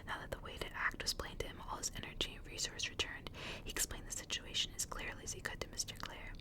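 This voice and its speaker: Casting: female ASMR reader, fully whispered and breathy, hushed intimate delivery, close to the mic. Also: fast talker. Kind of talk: whispered ASMR story reading and chat.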